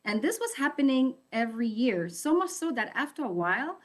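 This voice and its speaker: A woman's voice: sits high, big pitch swings, a little fast. A woman sharing a personal health testimony in a candid, reflective storytelling manner.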